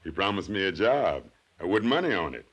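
An actor playing a slow-witted, eager drifter on the run in a 1950s radio drama, talking in folksy, ungrammatical phrases with hesitant chuckles. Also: male voice, sits low, big pitch swings, fairly bright tone, breathy edge, heavily acted.

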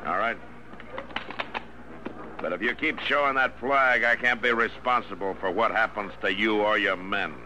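Casting: stern frontier lawman, male actor, very low voice, terse authority, American, noisy old radio western. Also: normal speed, pauses often, lightly acted. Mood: firm warning. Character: stern, steady frontier marshal, grown man. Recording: old radio recording with heavy noise.

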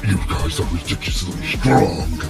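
An actor playing a savage, cocky wolf monster with a gravelly voice in an audio drama. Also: male voice, low-pitched, animated, moderate pace, loud music behind the voice, exaggerated voice. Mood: frustrated, hurt. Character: savage male werewolf-like ghost wolf monster, cocky villain.

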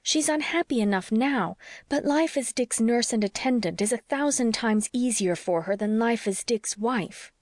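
A woman's voice: a little high, normal speed, a little mellow, no echo, clean studio sound, pitched above the performer's own voice, close to an everyday voice. A woman voicing a passionate, protective young wife who argues in an emphatic, pleading way, as in an audiobook. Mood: indignant protest. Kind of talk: audiobook character dialogue.

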